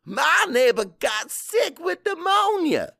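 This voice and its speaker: Audiobook role: combative old Cajun storyteller, pitched high, swooping, folksy and fiery. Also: male voice, sing-song, takes it slowly, vocal fry, no room sound, studio quality, full character voice. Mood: insistent storytelling. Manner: loud, emphatic, folksy, mixes French into English.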